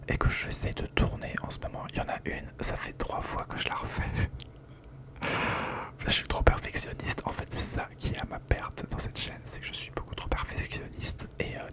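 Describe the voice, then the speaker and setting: Chatty whispering young man, ASMR ramble. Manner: whispered throughout, chatty, slangy, rambling with many fillers. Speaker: ASMR creator. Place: at home.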